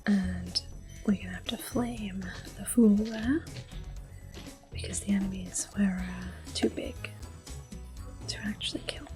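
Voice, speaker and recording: A woman speaks softly and breathily in an ASMR style, often slipping into a whisper. Her delivery is slow and gentle, at an ordinary pitch, as she comments on gameplay. Game music plays clearly behind her. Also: steady tone. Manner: gentle, unhurried. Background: soft video game music.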